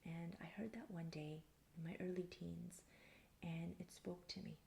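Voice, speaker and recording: Soft, very creaky ASMR woman. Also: lowish voice. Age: thirty-four. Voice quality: soft, breathy near-whisper. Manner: calm, unhurried. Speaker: ASMR video creator. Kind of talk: soft-spoken ASMR, answering personal tag questions. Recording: faint background hiss.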